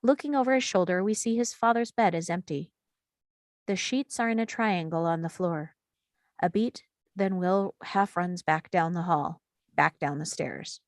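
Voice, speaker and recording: Woman narrator, lowish voice, scripted stage directions, screenplay table read, very dry sound. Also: normal speed, no room sound.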